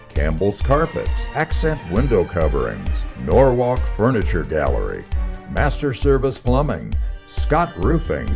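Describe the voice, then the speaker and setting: A man announcing sponsors for a radio show in an emphatic, scripted announcer style. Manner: emphatic announcer delivery with big pitch swings.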